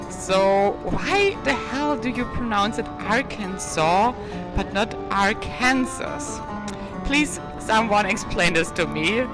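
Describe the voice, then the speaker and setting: A woman who is not a native English speaker, vlogging an enthusiastic road trip in a chatty voice-over. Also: mid-range voice. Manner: chatty and enthusiastic, slightly non-native phrasing. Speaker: travel vlogger. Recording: guitar music playing underneath.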